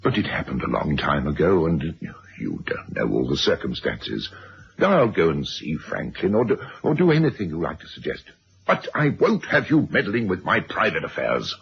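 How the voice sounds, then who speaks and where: A man's voice: low-pitched, big pitch swings, normal speed, creaky, full character voice. An actor playing a pompous, indignant middle-aged father in a radio drama, blustering as he is cornered. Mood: defensive. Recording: dull, hissy old radio recording.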